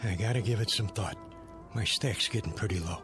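An actor playing an anxious male poker player in a radio drama, hesitant as his chips run low. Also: brisk, over music, lightly acted.

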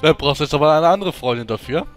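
A man's voice: on the high side, lively intonation, fast talker, music in the background. A man hosting a let's play with casual commentary.

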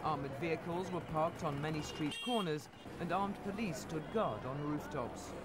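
A woman reporter reading a scripted news voice-over in a measured, formal delivery. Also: low-pitched. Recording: loud crowd and street noise behind.

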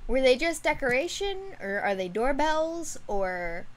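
A woman streaming a game, casually running a group event and laying down the rules in a big, loud voice. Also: high-pitched, big pitch swings, measured pace. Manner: loud, bossy rule-giving. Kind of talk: casual gaming chat. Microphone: desk mic on a laptop. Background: laptop fan noise.

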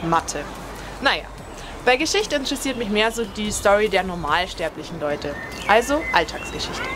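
A woman's voice: mid-range voice, animated, normal speed. Tongue-in-cheek woman with music behind. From Bavaria. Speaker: video crew member. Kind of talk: casual self-introduction to camera.

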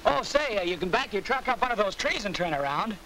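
An actor playing a worried, dutiful young American soldier in a 1940s film, speaking urgently. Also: male voice, high-pitched, sing-song, fast, sharp tone, slight background noise, heavily acted. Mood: worried, urging. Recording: distant outdoor old film soundtrack.

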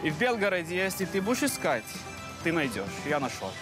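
A friendly man from Syria in his thirties is interviewed outdoors on a campus street, thinking over his words in non-native phrasing. Music plays clearly behind him. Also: on the high side, very animated, brisk. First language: Arabic. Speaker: dental resident. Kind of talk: casual interview.

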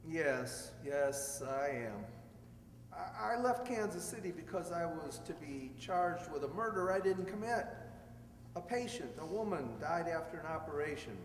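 A man's voice: fairly high pitch, lively intonation, a little slow. A man acting the part of an earnest middle-aged doctor in hiding, in an old-time radio drama, reading on stage. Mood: earnest confession. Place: stage in a community hall. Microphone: stand microphone. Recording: echoey hall stage, distant reverberant sound.

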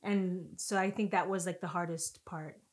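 A young woman vlogger telling a story in casual chat, animated and expressive, recorded on a sofa in a living room. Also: fairly low pitch, normal speed, a little hiss or hum.

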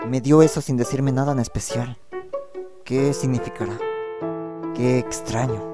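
Brooding, guilt-ridden teenage boy played by a man, introspective and hesitant, medium pitch, clear music underneath. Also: fairly even intonation, measured pace, lightly acted. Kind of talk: fan dub of a manga. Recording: music playing behind the voice.

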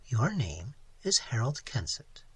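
A man voicing an earnest, tearful young woman with a soft, sighing, pleading delivery in a storybook reading. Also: medium pitch, wildly swooping pitch, takes it slowly, breathy edge, no echo, exaggerated voice. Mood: hurt, quiet. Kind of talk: dramatic audiobook character reading.

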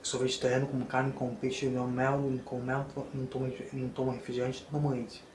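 A man in his thirties with a Brazilian Portuguese accent, filming himself in a small bedroom and rattling off his personal facts in a quick, flat run. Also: mid-range voice, noisy background. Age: 30s. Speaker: vlogger. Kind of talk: self-recorded spoken introduction. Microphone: camera held close at arm's length. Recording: echoey small bare room.

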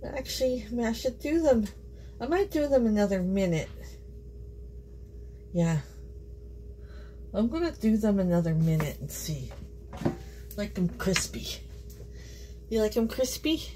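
A woman's voice: medium pitch, sing-song, a little slow, long pauses, warm tone, slight background noise. A woman from Canada hosting a casual home cooking vlog in her kitchen, chatting to viewers and laughing often. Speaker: home cook, channel host.

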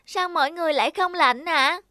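A woman's voice: very high voice, brisk, pushed and bright, dry recording, studio quality. A woman voicing a small, eager, anxious child in a cartoon, speaking politely and in a childlike way. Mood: anxious, earnest. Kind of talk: cartoon dubbing. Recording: music under the voice.

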